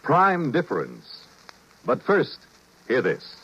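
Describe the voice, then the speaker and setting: A man's voice: mid-range voice, huge pitch swings, takes it slowly, very noisy. An American man announcing a radio show in a theatrical, portentous 1950s style. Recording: dull 1950s radio recording with music. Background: music.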